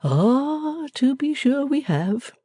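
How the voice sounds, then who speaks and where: A woman reading the part of a timid, fond little father who speaks gently and hesitantly, rambling at times. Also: high-pitched, very animated, takes it slowly, no room sound, clean studio sound, pitched above the performer's own voice, heavily acted. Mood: musing.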